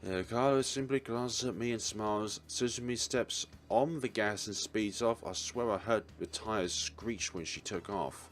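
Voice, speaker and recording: A man's voice: fairly low pitch, a little slow, hardly any pauses, faint music behind. A man reading a visual novel's story aloud as a let's player. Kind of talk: reading narration and character lines aloud.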